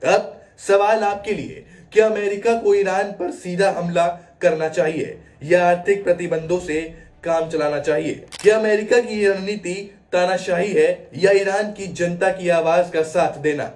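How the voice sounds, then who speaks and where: A man's voice: sits high, measured pace, few pauses, darkish tone, recorded from a distance. A man delivering a scripted news explainer in a dramatic manner, posing rhetorical questions. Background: background music.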